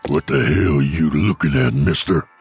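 Man putting on a gravel-voiced killer gunslinger. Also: a bass voice, normal speed, a little mellow, soft background music. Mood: threatening. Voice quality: gravelly, threatening. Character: menacing gravel-voiced male gunslinger, brutal and cold.

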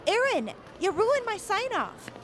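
An actress playing a perky, self-absorbed young fan video blogger with a chirpy, slangy delivery. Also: female voice, very high voice, very animated, measured pace, fairly bright tone, slight background noise, exaggerated voice. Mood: annoyed, panicked.

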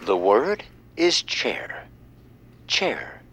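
A man playing a hushed, deliberate game-show announcer in an audio drama, with a breathy voice. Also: mid-range voice, very animated, a crowd in the background, background noise. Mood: hushed, solemn.

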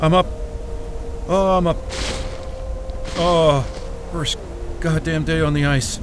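A man playing an irritable, sweary young astrophysicist in a naturalistic audio drama, talking in a grumbling, halting way. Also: on the high side, steady tone, noisy background. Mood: pained, irritated. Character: groggy, irritable, freezing young male astrophysicist.

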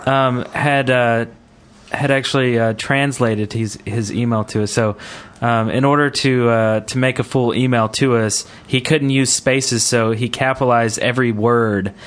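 Male, podcast co-host, casual chat, mid-range voice, jokey, quick to laugh. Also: mid-range voice, steady tone. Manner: jokey, laughs readily while talking.

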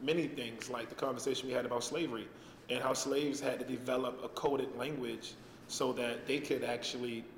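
A man talks reflectively in an interview clip, pausing often on fillers. His voice echoes through a large hall with a long reverb tail, and clear background noise sits under it. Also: medium pitch, steady tone. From Baltimore, USA. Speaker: author.

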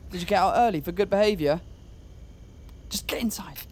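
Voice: mid-range voice, a little fast, background noise, lightly acted. An actor playing a startled, flustered friend in audio drama dialogue.

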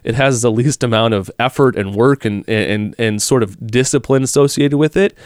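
A man's voice: mid-range voice, normal speed, a little mellow, clear, resonant tone, dry recording. Conversational male host, dry sound. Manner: conversational, thinking aloud. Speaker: podcast host. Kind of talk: interview on faith.

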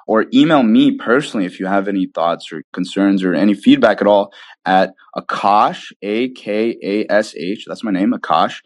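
Low-voiced man in a casual interview. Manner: earnest, informal, peppered with "you know". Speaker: guest, app developer.

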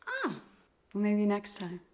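An actress playing a guarded, uneasy interior designer in a thriller film, hesitant and stiffly polite. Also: female voice, medium pitch, huge pitch swings, a little slow, a little mellow. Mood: uneasy, politely disapproving.

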